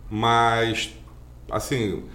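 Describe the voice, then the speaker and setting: A man, talking earnestly as a podcast guest. He rambles and keeps adding little tags to his sentences. His voice sits at an ordinary pitch, and the room sounds echoey. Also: flat delivery, very slow, pushed and bright. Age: 40s. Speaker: guest, driving school owner. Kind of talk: podcast interview storytelling.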